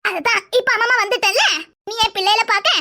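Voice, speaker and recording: A woman's voice: very high-pitched, quick pace. A woman voicing an affectionate elderly grandmother in a cartoon, speaking with a southern Tamil Nadu accent and tender endearments, reluctant to impose. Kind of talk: cartoon character dialogue. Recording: very loud background noise.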